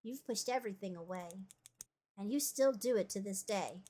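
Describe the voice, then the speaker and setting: A woman voicing a firm, wise female inner guide who counsels in a measured, consoling yet blunt way in a visual novel. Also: mid-range voice, music in the background, pitched below the performer's own voice, close to an everyday voice. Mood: firm, reassuring. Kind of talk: dramatic visual novel reading.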